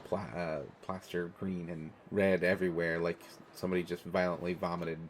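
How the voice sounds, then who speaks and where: An American man playing an awkward, kindly stranger in an intimate, casual audio roleplay, talking in a halting way with trailing pauses. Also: low-pitched, level tone, slight background noise, natural voice. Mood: wry, grumbling. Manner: hesitant, halting, with trailing pauses and self-corrections. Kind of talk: intimate one-sided audio roleplay, casual conversational acting.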